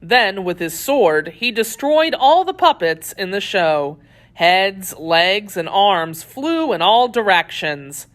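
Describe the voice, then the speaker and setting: A woman narrating a children's story from a script, telling it in an expressive, deliberate way. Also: mid-range voice.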